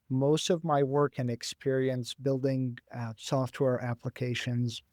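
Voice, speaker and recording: A young man whose first language is Arabic speaks slowly and deliberately, in a middle-range voice, pausing often on "uh" as he thinks. He is a graduate student guest in a career interview. The sound is dry and close. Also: mid-range voice, darkish tone, no echo. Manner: measured, thoughtful, with frequent "uh" pauses.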